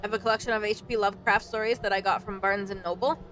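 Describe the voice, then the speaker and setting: Expressive, sweary woman streamer, faint noise. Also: mid-range voice, very fast. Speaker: gaming streamer. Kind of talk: casual let's-play commentary.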